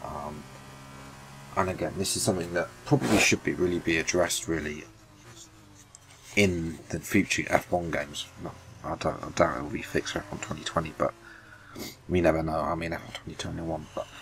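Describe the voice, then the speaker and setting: Man, very low voice, sniffly, rambling gameplay chat. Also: steady tone, moderate pace, creaky, fairly bright tone, slight background noise. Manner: rambling, self-correcting, apologetic. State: sniffly from a cold or hay fever. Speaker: gaming video host. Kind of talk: casual gameplay commentary.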